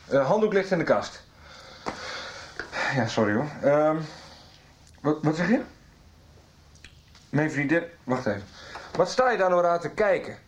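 An actor playing a blunt, impatient, offhand lover in a Dutch radio soap. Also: male voice, fairly high pitch. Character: blunt, impatient, casual male lover with secrets.

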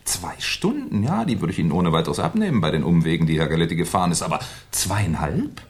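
A man acting a polite, pedantic, quietly sceptical police inspector in a German radio crime play, questioning hesitantly and deliberately. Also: low voice, sing-song. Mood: sceptical, insistent.

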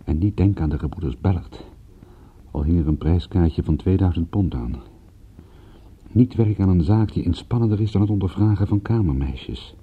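Hese, diepe, levensmoede privédetective. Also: male voice, low-pitched, expressive. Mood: brooding, weary. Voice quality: husky. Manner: brooding, measured storytelling. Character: world-weary, reluctant male private detective telling his story. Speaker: narrating lead actor in a radio play. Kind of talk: first-person detective radio drama narration. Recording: muffled, phone-like old radio play recording.

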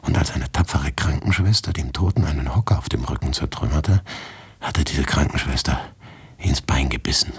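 Anxious, very deep gravelly narrator. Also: male voice, animated, moderate pace, no room sound, exaggerated voice. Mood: grimly confiding. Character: anxious, obsessive male writer telling a macabre tale. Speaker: radio play narrator and lead actor. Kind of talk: dramatic first-person literary narration in a radio play.

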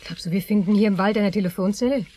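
An actress playing a down-to-earth wife in a German crime radio drama, colloquial and dryly teasing. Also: female voice, mid-range voice, quick pace, mellow, natural voice. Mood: shaken. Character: down-to-earth, dry-witted wife driving her husband.

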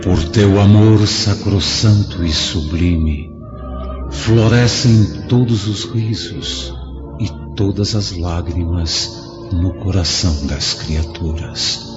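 Deep, reverent spirit. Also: male voice. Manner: slow, reverent. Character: devout male spirit reciting a hymn of praise. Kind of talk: solemn radio drama acting. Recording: muffled radio drama under clear music.

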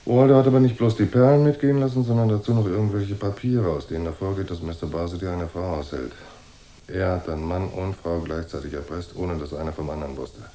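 An actor playing a cool, unflappable private detective who narrates a noir radio drama in a dry, laconic way. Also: male voice, low voice, steady tone, fast talker, warm tone, close to an everyday voice. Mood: assertive. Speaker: first-person narrator and detective.

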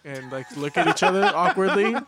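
A man's voice: a little high, expressive, fairly quick, a crowd in the background. A man bantering casually as a co-host on a podcast.

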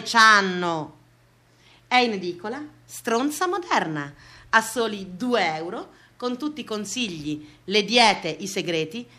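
A woman's voice: a little high, sharp tone. A woman performing a comic confessional theatre monologue in a wry, conversational way.

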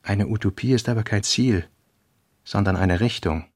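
A man with a husky voice playing a reflective writer, reading aphoristic notes in a literary radio play. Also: on the low side, normal speed, darkish tone, no room sound, clean studio sound.

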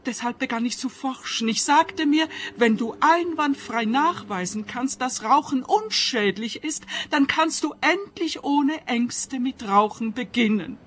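Anxious, high-voiced older scientist. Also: female voice, lively intonation, measured pace, few pauses, fairly bright tone. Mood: anxious, earnest. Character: anxious, obsessive older woman scientist, stubbornly defensive. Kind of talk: radio play acting.

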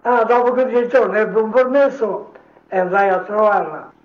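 High-voiced old man, roomy dull recording. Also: moderate pace. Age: older, a grandfather. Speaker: war veteran recounting memories. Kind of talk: informal spoken reminiscence.

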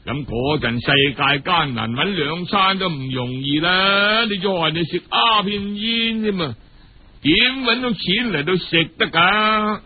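A man telling a traditional story in a colloquial, dramatic, unhurried way. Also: sits high, animated, slightly rough. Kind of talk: traditional Cantonese storytelling narration. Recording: muffled, phone-like old radio recording.

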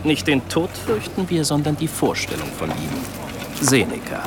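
A man's voice: a little high, wildly swooping pitch, measured pace. A man acting a supremely self-assured, erudite Iroquois army sergeant in a German radio play, speaking formally, politely and eloquently. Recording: clearly noisy outdoor ambience behind.

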